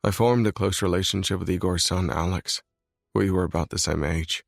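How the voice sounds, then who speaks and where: A man voicing a brooding, controlled mafia boss in a scripted audiobook reading, low and grave. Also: fairly even intonation, a little fast, vocal fry, no echo, clean studio sound. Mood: grim. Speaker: male lead voice in audiobook.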